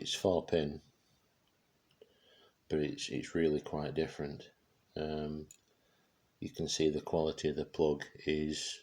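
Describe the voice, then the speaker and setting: A man giving a casual, unscripted product demonstration, speaking haltingly as he searches for words. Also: rumbling low, a little mellow, husky. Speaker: presenter showing vintage radio gear.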